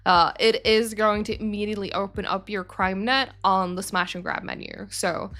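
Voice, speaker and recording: A woman's voice: medium pitch, fairly even intonation, moderate pace, a bit bright. A young woman chatting on a livestream as a game studio's community manager, answering viewer questions in a rambling way with lots of fillers and self-corrections. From Sweden. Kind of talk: casual livestream chat reading viewer questions.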